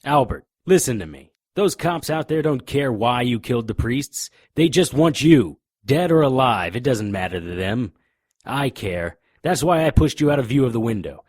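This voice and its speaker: A man's voice: medium pitch, expressive, normal speed, darkish tone, no echo, studio quality, close to an everyday voice. A man playing a tough, sardonic private investigator in a noir radio drama, both narrating and speaking in scenes. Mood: urgent. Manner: hard-boiled, sardonic. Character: tough, defiant, wry male private investigator. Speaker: lead actor and narrator.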